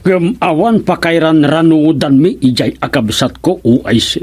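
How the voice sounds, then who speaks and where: A man with an Ilocano accent playing an animated, nosy village councilman in a radio comedy drama. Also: a little high, moderate pace, slightly rough. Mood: grumbling.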